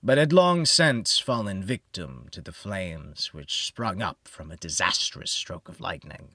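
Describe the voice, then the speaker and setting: A man narrating a gothic horror audiobook in a brooding, theatrical manner, with deliberate phrasing that drops to a hush. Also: deep voice, lively intonation, no echo, clean studio sound. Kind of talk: dramatic gothic horror storytelling, scripted narration.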